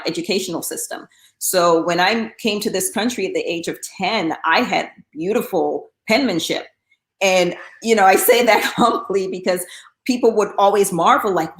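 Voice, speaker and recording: A woman's voice: medium pitch, roomy sound. A middle-aged woman from Guyana, talking casually as an interview guest, with frequent fillers and restarts. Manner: chatty, with many um fillers and restarts.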